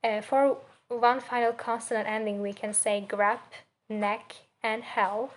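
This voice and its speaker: A young woman with a Turkish accent presents like a student giving an assignment. Her voice sits fairly high and stays quite flat. She goes slowly and haltingly, searching for words and setting out examples with care. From Turkey. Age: young adult. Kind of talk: spoken assignment presentation on pronunciation.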